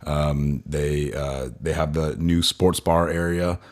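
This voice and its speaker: A man co-hosting a podcast in casual, halting, meandering talk. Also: rumbling low, fairly even intonation. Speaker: podcast co-host. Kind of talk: casual chat.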